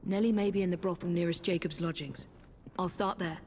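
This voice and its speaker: An actress playing a resolute, serious woman assassin investigating murders in a video game, speaking in a measured way. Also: fairly low pitch, fairly even intonation, a little mellow, a little hiss or hum, close to an everyday voice. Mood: pensive. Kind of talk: dramatic video game acting.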